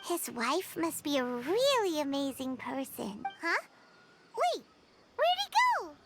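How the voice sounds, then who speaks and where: A tiny floating fairy companion from a fantasy game. The voice is extremely high and childlike, leaping about in pitch with exclamatory, excitable delivery. Loud music plays behind it. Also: female voice, slow pace, exaggerated voice. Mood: puzzled. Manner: exclamatory, talks of self in third person. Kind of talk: video game voice acting.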